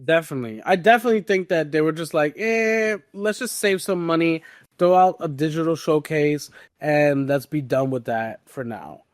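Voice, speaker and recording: A man's voice: fairly high pitch, normal speed, no room sound, clean studio sound. A man hosting a podcast and steering the topics in casual gaming news chat.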